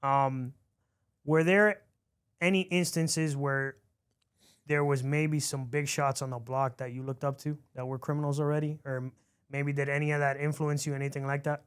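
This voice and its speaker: A man's voice: a little high, flat delivery, moderate pace, clean tone. A man from Miami hosting an interview, chatty and curious. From grew up in Miami among Cuban families. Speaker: podcast host. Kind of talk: casual interview questions.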